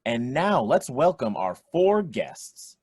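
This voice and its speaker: An actor playing a jovial quiz show host in a radio play, with theatrical announcer delivery. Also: male voice, medium pitch, very animated, takes it slowly, darkish tone, slight background noise. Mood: showy, upbeat. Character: young man hosting a quiz show, jovial showman. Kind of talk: radio play acting, game show emcee patter.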